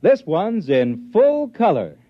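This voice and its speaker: A man's voice: high-pitched, wildly swooping pitch, takes it slowly. A man narrating his own story in a folksy, rambling way for a 1950s radio drama. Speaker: narrator playing himself. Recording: dull old radio broadcast with music.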